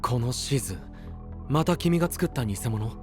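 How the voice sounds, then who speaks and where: An actor playing a kind, easygoing young knight in an anime voice drama, talking in soft, casual, friendly phrases. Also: male voice, mid-range voice, animated, moderate pace, lightly acted. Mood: questioning. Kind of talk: Japanese anime voice drama acting. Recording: clear music under the voice.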